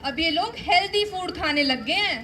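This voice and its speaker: A woman's voice: very high voice, animated, normal speed, sharp tone, exaggerated voice. A female performer playing a sly, chummy foreign pizza in a school stage play. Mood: conspiratorial, chummy. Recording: live stage, faint background noise.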